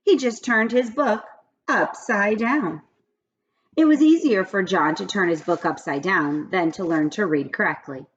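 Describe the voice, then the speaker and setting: A woman reading aloud to children in an expressive, friendly storytelling style. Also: medium pitch, a little slow, breathy edge, dull audio. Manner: friendly, addressing children directly. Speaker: read-aloud teacher.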